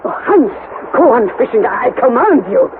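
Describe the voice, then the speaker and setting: A man's voice: extremely high pitch, big pitch swings, moderate pace, heavily acted. A tinny old radio recording carries an elderly professor, fussy and easily exasperated. His voice swings widely as he exclaims and repeats himself like a lecturing naturalist in a vintage adventure serial. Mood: excited wonder. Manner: pedantic, exclamatory, irritable, repeating words emphatically. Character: excitable, impatient elderly professor uncle, obsessive naturalist. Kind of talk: vintage radio drama acting. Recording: tinny, band-limited vintage radio, faint noise.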